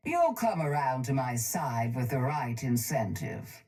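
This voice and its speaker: An actress playing a cold, posh, ruthless crime-boss tycoon in a video game, speaking in a haughty, deliberate, menacing way. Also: female voice, a bass voice, big pitch swings, dark tone, faint music behind, heavily acted. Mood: cool, menacing. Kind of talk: video game character voice lines.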